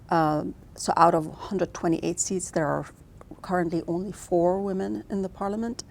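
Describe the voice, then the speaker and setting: A woman filmmaker from Lebanon answers interview questions in a fairly low, gravelly voice. She speaks thoughtfully and often restarts her sentences. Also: darkish tone. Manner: thoughtful, frequent restarts and fillers. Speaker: filmmaker guest.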